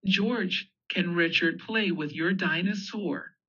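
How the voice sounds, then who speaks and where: A woman playing a gentle mother pig in a storybook, patiently explaining. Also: lowish voice, slow pace, slightly rough, exaggerated voice. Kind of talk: storybook character voice. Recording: dull lo-fi audio.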